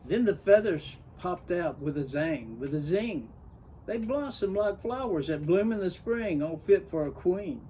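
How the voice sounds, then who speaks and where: A man reading a children's story aloud in an expressive storybook narration style. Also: a little high, a little hiss or hum. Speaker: story reader.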